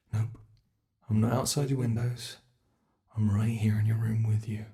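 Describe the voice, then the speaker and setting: Man as a slow, creaky, taunting bedside monster. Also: lowish voice, mellow. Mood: teasing, sinister. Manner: slow, teasing, whispery taunting with drawn-out words. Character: gleeful, menacing centuries-old male monster under the bed. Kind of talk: ASMR roleplay, scripted horror monologue.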